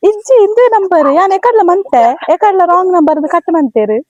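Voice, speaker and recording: A woman's voice: very high voice, sing-song, brisk, a little mellow, dry recording, dull audio. A woman with a coastal Karnataka Tulu accent, chatting casually and playfully as the host of a prank call. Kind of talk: casual playful phone chat.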